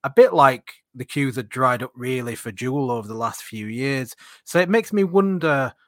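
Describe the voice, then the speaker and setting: A man's voice: medium pitch, normal speed, no room sound, clean studio sound. A man hosting a casual podcast in a chatty, jokey manner. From northern England. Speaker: podcast host.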